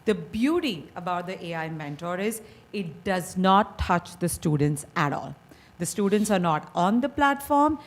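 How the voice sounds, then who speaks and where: A woman's voice: on the low side, expressive, unhurried. A woman from India with an Indian accent, a company founder giving a persuasive presentation at a school board meeting. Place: meeting hall.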